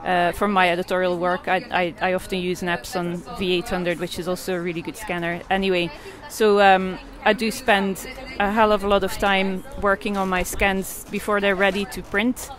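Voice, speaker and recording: A woman's voice: lowish voice, level tone, moderate pace, few pauses. A woman in her forties from Belgium, an artist and photographer answering interview questions in a hesitant way, with many fillers and restarts.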